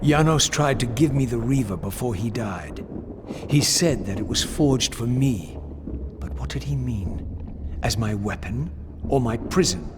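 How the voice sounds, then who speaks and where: An actor playing a bitter, brooding undead wraith in a video game, with grave, theatrical, deliberate phrasing. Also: male voice, medium pitch, lively intonation, background noise, close to an everyday voice. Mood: suspicious, bitter. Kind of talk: dramatic video game dialogue and monologue. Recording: music behind the voice.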